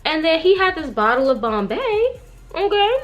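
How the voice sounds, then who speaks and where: A woman's voice: very high-pitched, very animated, moderate pace, faint music behind. A young woman telling a story in a casual, slangy, gossipy way, acting out bits of dialogue as she goes. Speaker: vlogger. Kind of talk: animated casual storytime chat.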